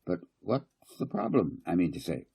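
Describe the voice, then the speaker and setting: A man's voice: sits low, huge pitch swings, normal speed, gravelly, warm tone, slightly rough, heavily acted. A man voicing a flustered, blustering small-town councillor in a storybook, sputtering and repeating himself indignantly. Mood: alarmed, puzzled.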